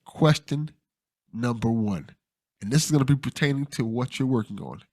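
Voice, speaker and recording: Animated man hosting a casual podcast. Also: mid-range voice, no room sound. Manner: dramatic question announcing, quick to laugh. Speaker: podcast host.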